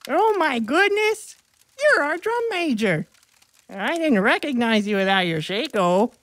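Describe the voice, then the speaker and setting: A woman's voice: fairly high pitch, fairly bright tone, no room sound, voice pushed up, exaggerated voice. A woman voicing a scrawny, self-pitying teenage boy in a dramatic audiobook. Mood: gushing, friendly. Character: scrawny, pleading teenage boy football benchwarmer. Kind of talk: audiobook character acting.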